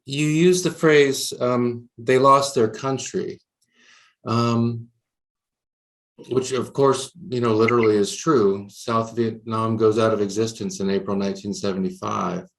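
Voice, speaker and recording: Male interviewer, measured and deliberate, slow delivery. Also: mid-range voice, steady tone, rough recording. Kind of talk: oral history interview.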